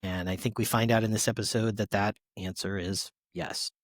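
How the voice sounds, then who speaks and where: A man's voice: lowish voice, steady tone, moderate pace, no room sound, studio quality. A man speaking as a panelist in a casual podcast discussion.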